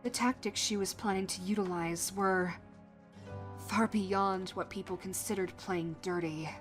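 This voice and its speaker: Slow, solemn woman narrating fantasy. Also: mid-range voice, fairly even intonation. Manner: solemn, deliberate, with dramatic pauses. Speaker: narrator and voice actor. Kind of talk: dramatic scripted fantasy narration. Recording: prominent music under the voice. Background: music.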